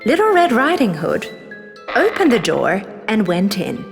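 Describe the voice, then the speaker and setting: A woman's voice: medium pitch, huge pitch swings, measured pace. A woman narrating a children's fairy tale as a scripted story. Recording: long reverb, clear music behind. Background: music.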